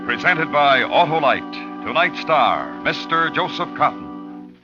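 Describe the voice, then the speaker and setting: An American man announcing a suspense radio show in a formal, dramatic, deliberate 1950s style on a vintage recording with music behind him. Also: a little high, sing-song, muffled recording.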